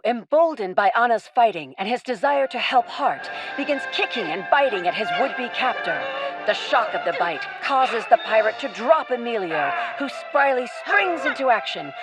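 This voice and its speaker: A woman's voice: a little high, no room sound, low-quality recording. A woman narrating a scripted radio-play adventure as a storyteller. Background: music.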